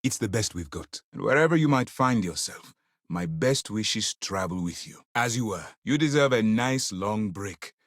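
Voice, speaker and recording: An actor playing a stern, principled middle-aged military commander in a space video game, speaking in a measured, formal, authoritative way. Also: male voice, on the low side, animated, no echo, clean studio sound, lightly acted. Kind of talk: dramatic video game dialogue.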